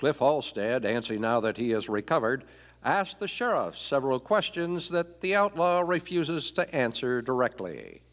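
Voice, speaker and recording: A man's voice: mid-range voice, very animated, normal speed. A man playing a dramatic narrator of a live Western radio play, reading a script in an echoey hall. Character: dramatic male radio serial announcer. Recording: echoey concert hall, music behind. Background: orchestral music.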